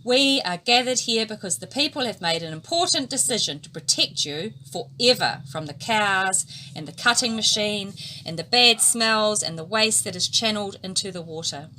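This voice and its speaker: A woman voicing a cheerful child cousin in a children's storybook reading, proclaiming good news excitedly. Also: mid-range voice, moderate pace, few pauses, slight background noise, poor audio.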